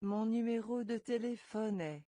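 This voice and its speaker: A French teacher, a woman, drilling phrases slowly, breaking each into single words and then repeating it whole. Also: on the high side, warm tone, no room sound, studio quality. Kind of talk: slow phrase-by-phrase pronunciation drill.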